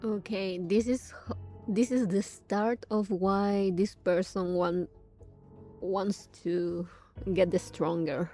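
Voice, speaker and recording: A woman giving casual live reaction commentary on a game story, halting and musing as she thinks aloud. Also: medium pitch, fairly even intonation, dark tone, faint music behind.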